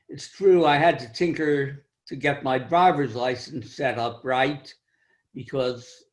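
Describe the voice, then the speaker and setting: An older man with a high-pitched voice tells a wry, self-deprecating story about his own life in a club speech over a video call. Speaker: club speaker.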